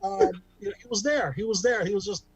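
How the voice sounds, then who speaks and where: A man with a high-pitched voice tells self-deprecating stand-up bits over a video call. He rambles, restarts, and fills gaps with ums. The sound is dry and close, with no room around it. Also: big pitch swings, fairly quick, gravelly, slightly rough, no echo, muffled recording. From Bakersfield, California. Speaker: stand-up comic.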